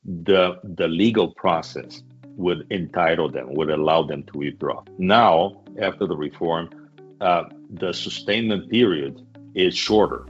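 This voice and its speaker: Very deep male guest, halting and self-correcting, dull video-call audio. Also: faint music behind. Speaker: guest immigration expert. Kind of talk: casual webinar talk.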